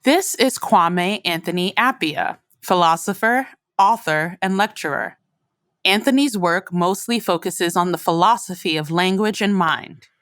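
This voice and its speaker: Female announcer for scripted introductions, lowish pitch, dry studio-like sound. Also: unhurried, fairly bright tone, dry recording. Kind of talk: scripted narration.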